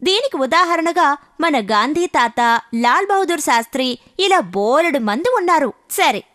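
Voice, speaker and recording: A woman's voice: sits high, slight background noise. A woman narrating a scripted children's story as a storyteller.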